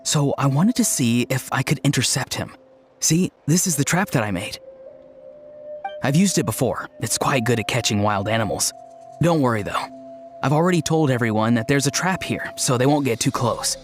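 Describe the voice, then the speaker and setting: A man's voice: medium pitch, lively intonation, moderate pace, soft background music, natural voice. An actor playing an earnest, easygoing young tribesman in a video game, explaining things plainly. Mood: earnest, eager. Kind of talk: video game character acting.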